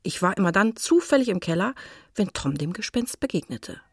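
A woman's voice: medium pitch, very animated, brisk, breathy edge, no echo. A woman playing a nosy neighbour who narrates a children's radio play in a confiding, suspenseful way. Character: nosy gossipy neighbour woman telling the story. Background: faint music.

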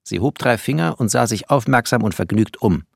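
A man's voice: mid-range voice, animated, fairly quick, creaky, breathy edge, dry recording, clean studio sound. An actor playing a wry, bookish refugee who narrates his journey tersely and sardonically in a literary radio play. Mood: sardonic.

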